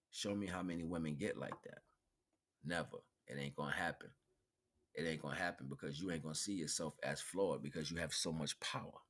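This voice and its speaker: A man giving an improvised spiritual talk in colloquial language, repeating phrases for emphasis and checking in with rhetorical questions. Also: low-pitched. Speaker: spiritual teacher, livestream host. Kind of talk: improvised spiritual lecture, streamed.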